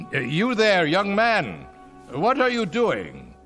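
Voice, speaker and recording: A frail anxious old husband in a radio play, slow halting talk, pitch swinging all over. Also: male voice, huge pitch swings, a bit bright, faint music behind, full character voice. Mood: hesitant, curious. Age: older. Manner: hesitant, halting, questioning. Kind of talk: radio drama acting.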